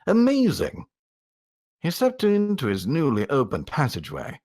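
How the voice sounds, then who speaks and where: A man reading a story aloud as a voice actor, with a theatrical, expressive storytelling delivery. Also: on the high side, a little slow. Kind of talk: dramatic storytelling narration.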